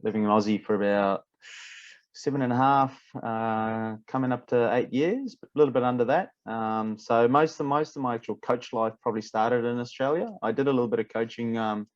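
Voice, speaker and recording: A man from Fiji, a rugby coach chatting as a podcast guest, relaxed and repeating words as he thinks. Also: mid-range voice, brisk, dry recording, highs cut off. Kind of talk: casual interview chat.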